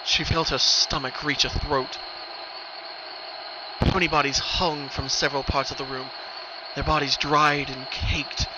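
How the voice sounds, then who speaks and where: A man reading a scripted horror story as a dramatic narrator. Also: muffled recording. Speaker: narrator of horror fan fiction. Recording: noisy recording, rumbling ambient background.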